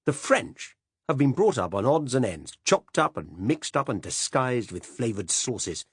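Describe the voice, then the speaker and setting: A man's voice: on the low side, sing-song, normal speed, slightly rough, no room sound, clean studio sound, pitched above the performer's own voice. A man reading aloud voices a blunt, domineering older man who growls his opinions at the dinner table. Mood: gruff commanding. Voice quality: growling, commanding.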